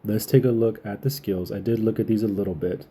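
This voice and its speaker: A man with a low, soft-edged and husky voice hosts a casual gaming update. He sounds like he is getting over a cold. His delivery is relaxed and informal, explaining things in a loose, rambling way. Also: fairly quick. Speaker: gaming channel host. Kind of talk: casual game update commentary.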